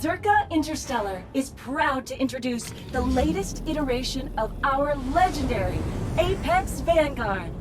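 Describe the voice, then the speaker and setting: Polished corporate promo announcer. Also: female voice, high-pitched, sing-song, normal speed, music in the background.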